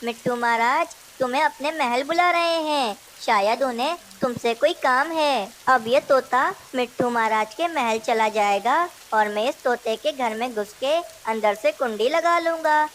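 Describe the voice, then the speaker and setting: A sly, lazy cartoon crow acted by a woman speaks in a high voice. He is a brazen male schemer, always out to trick the other birds. A faint hiss sits behind the voice. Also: measured pace, hardly any pauses, a bit bright, rough recording. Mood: deceitful urging. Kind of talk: Hindi children's cartoon dialogue.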